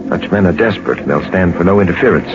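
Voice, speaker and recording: An actor playing a brisk, commanding gentleman adventurer in a 1940s radio drama, clipped and authoritative. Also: deep voice, expressive. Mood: brisk, knowing. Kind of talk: 1940s radio drama acting and first-person narration. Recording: muffled, noisy 1940s radio recording.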